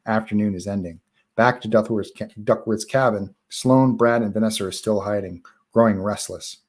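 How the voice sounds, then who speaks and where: A man's voice: on the low side, moderate pace. A man reading stage directions as narrator in a live table read of a horror screenplay.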